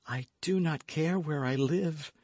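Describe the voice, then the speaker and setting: A man's voice: a little high, a little slow, a little mellow, no room sound, voice pushed up. A man voicing a frightened, lovestruck young maidservant in an audiobook, with a pleading, devoted manner. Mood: devoted, wistful. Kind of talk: audiobook character acting.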